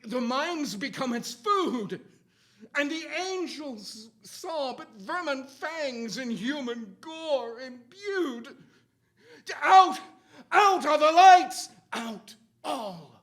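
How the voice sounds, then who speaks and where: An older man recites verse on a theatre stage in a grave, declamatory style. His delivery is very slow and sweeps widely in pitch, moving between hushed drops and booming cries. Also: expressive. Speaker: stage performer reciting poetry. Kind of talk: theatrical dramatic poetry recitation.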